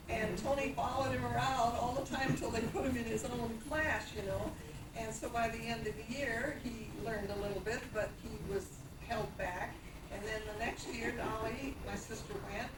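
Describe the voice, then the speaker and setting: A woman's voice: a little high, roomy sound, far from the mic, very noisy. An older woman recalling her childhood at a community forum, a chatty storyteller with frequent fillers. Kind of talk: oral history recollection at a public forum.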